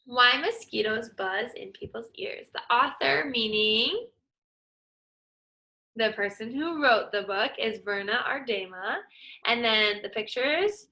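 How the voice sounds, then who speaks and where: A woman's voice: a little high, lively intonation, slow pace, pushed and bright, poor audio. Upbeat young woman teacher reading aloud. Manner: upbeat, encouraging, explains words for kids. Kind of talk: reading a picture book aloud to children.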